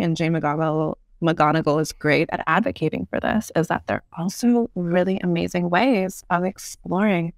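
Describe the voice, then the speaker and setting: Female podcast guest, articulate and explanatory, noticeable vocal fry, dry close recording. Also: fairly low pitch, no echo. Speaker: podcast guest, urban design expert. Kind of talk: thoughtful interview conversation.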